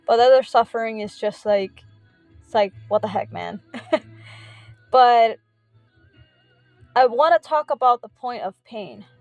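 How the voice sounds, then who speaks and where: A woman speaking earnestly in a personal faith vlog, heartfelt and halting, often pausing on filler words. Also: mid-range voice, darkish tone, no echo. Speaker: faith vlogger.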